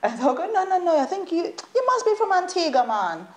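A woman, a guest in a studio interview, telling stories in an animated, chatty way and laughing at times. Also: very high-pitched, a little fast. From United Kingdom. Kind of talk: casual interview chat.

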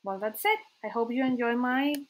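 A woman with a Colombian accent and Spanish as her native language, introducing herself informally as a podcast host, with hesitant, pause-filled delivery. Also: on the high side.

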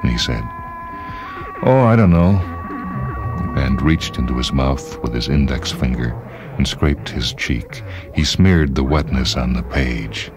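A man reading literary fiction aloud as scripted narration, in a measured, dramatic way. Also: a bass voice, fairly even intonation, music in the background. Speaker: story reader.

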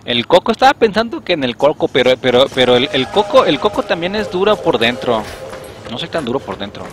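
A man's voice: medium pitch, brisk. A young man with a Mexican accent giving joking, casual commentary while streaming a game and talking to his chat. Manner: joking, animated, talks to chat. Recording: music playing behind voice.

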